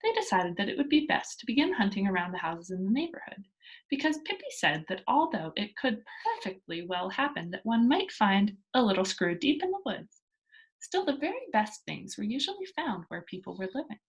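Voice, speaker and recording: Animated woman reading a children's story. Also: mid-range voice, a little fast, slightly rough, low-quality recording. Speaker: storybook reader. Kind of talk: expressive children's story narration.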